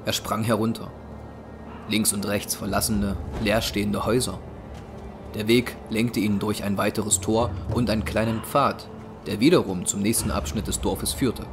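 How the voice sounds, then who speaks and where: A man narrating a scripted dramatic action story as the narrator of an audio drama. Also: on the low side. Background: music.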